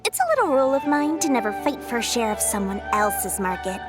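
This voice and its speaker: A woman's voice: fairly high pitch, big pitch swings, fairly quick, loud music behind the voice. A woman voicing a shrewd, greedy young female merchant in a video game, talking in a sly, bubbly, salesy way. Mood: scheming. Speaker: game character.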